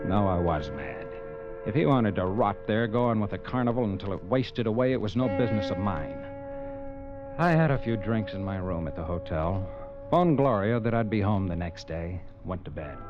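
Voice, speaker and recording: A man plays a brash talent agent, brisk and insistent. His pitch moves a lot. The old radio sound is dull, and faint music plays behind him. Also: animated, natural voice. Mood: narrating. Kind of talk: 1940s radio acting.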